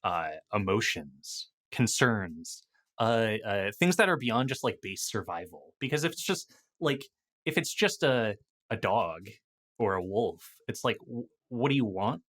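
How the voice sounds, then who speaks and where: A man speaking as a guest in a casual games discussion, thoughtful and a little halting. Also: on the low side, animated, fairly bright tone. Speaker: podcast guest, game designer and writer.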